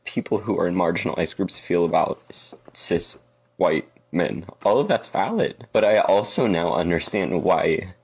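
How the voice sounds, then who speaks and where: A man's voice: sits low, moderate pace, a little mellow, breathy edge. A man speaking earnestly and reflectively about his own experience. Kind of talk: personal testimony.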